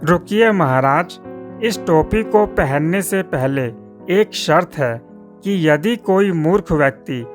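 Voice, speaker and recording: A man's voice: a little high, lively intonation, takes it slowly, faint music behind. A man playing a wily but polite poor village barber in a cartoon story, earnest and deferential. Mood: sly confidence.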